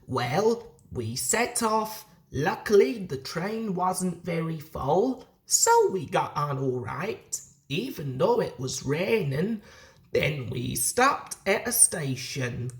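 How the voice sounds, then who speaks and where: A woman voicing a proud, animated little steam engine. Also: on the low side, takes it slowly, heavily acted. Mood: dramatic, animated. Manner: boastful, dramatic storytelling. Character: proud, chatty male steam engine recounting adventures.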